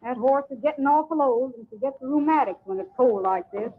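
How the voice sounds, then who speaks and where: Actress as high-voiced folksy ranch woman. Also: fairly quick, narrow, tinny audio, lightly acted. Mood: bustling, folksy. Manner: folksy country exclamations. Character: homely, bustling ranch woman, motherly caretaker. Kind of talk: old-time radio western acting. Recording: noisy, thin old radio recording.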